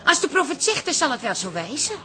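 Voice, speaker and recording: A woman's voice: high-pitched, big pitch swings, quick pace, pushed and bright, full character voice. An actress playing a kindly, easily flustered café woman in a Dutch radio drama, hesitant and placating.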